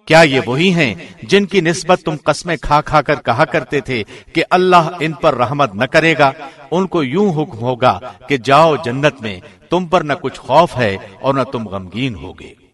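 A man reads a translation of scripture from a script. His delivery is formal and measured, like a radio presenter's, and his voice sits a little higher than most men's. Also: expressive, a bit bright. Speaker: translation reader, radio host.

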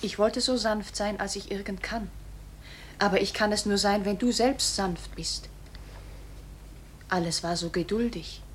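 A woman playing a gentle, apologetic bullet in a poetic radio play, speaking tenderly and with measured calm. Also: mid-range voice, a little hiss or hum, close to an everyday voice. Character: gentle, apologetic bullet boring toward a heart.

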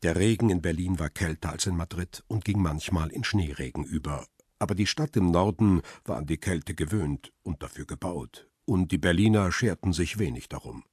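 An actor playing a brooding, middle-aged paparazzo who narrates his own story in a measured, intimate way in a radio drama. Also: low voice, darkish tone, husky, no room sound, clean studio sound. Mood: reflective. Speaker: narrator and lead character. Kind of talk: radio drama, literary first-person narration.

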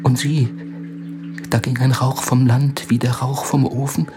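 An actor playing a haunted, paranoid young soldier in a radio play, speaking in halting, broken phrases. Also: male voice, mid-range voice, level tone, background noise, natural voice. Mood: agitated, distracted. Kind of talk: German-language radio play acting. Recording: music behind the voice. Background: music.

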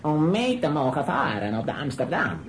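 A man's voice: a little high, sing-song, normal speed. Sly nobleman villain, really sing-song, theatrical kids radio play, bit of noise. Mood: smug, threatening. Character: scheming male Italian nobleman, sly blackmailer. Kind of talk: children's radio play acting.

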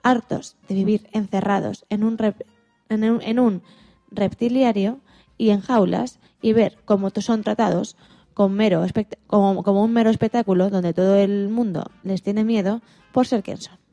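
A woman with a medium-pitched, very soft-edged voice co-presents on radio. She reads her script haltingly, tripping on words, with faint music behind her. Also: steady tone. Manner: halting reading with stumbles over words. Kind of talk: scripted film recommendation.

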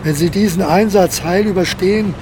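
A man's voice: sits high, normal speed, noisy background. A man playing a cold, commanding army colonel in a radio drama, speaking in curt, authoritative orders. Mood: stern, matter-of-fact. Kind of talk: modern German radio drama acting.

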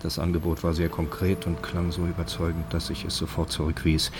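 Low, sober documentary narrator. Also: male voice, completely flat delivery, a little fast. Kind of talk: scripted documentary narration in radio drama. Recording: clear ambient noise behind narration.

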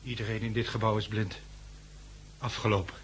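A man's voice: fairly low pitch, level tone, a little fast, husky. An actor playing a suddenly blind man, bleak and grimly resigned, in a 1960s radio drama. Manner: terse, bleak. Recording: 1969 radio recording, faint noise.

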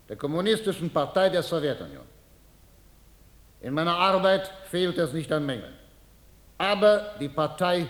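An actor playing a humble Soviet party applicant giving a halting, ceremonious speech to comrades in a radio drama. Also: male voice, high voice. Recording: echoing hall with long reverb.

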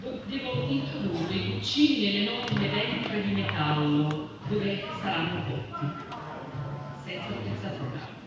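A woman's voice: medium pitch, measured pace. A woman giving a staged reading of a literary text. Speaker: reader in a workers' collective. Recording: dull, distant, very echoey, music behind. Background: music.

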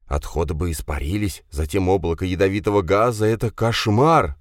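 A man's voice: sits low, a little fast, no echo, studio quality, pitched above the performer's own voice, lightly acted. A man voicing a cowardly middle-aged chief engineer who whines and pleads. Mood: mumbling. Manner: whining, mumbling, pleading.

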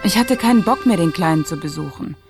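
An actress playing a blunt, casual young hospital volunteer in a radio play, talking colloquially. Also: female voice, lowish voice, lively intonation, quick pace, over music. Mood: candid.

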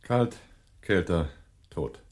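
A man's voice: sits low, animated, very slow, a little mellow, a little hiss or hum, full character voice. An actor playing a commanding, terse, hard-boiled armed man on the run in a German radio drama, both narrating and giving orders. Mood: brisk, instructing.

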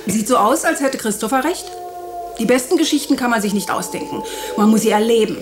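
A woman plays an evasive, dramatic actress under suspicion in a radio play. Her voice sits fairly high and is emphatic and theatrical. There is clear background noise around her. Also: lively intonation, a little fast, lightly acted. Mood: wistful. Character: dramatic, evasive TV actress suspected of blackmail and murder. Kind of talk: German radio drama acting. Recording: noisy ambience behind the voice.

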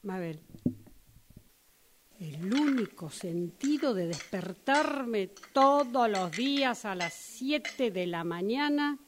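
A woman's voice: mid-range voice, expressive, slow pace, a little hiss or hum. A woman performing a dramatic monologue reading, as a student in a radio drama workshop.

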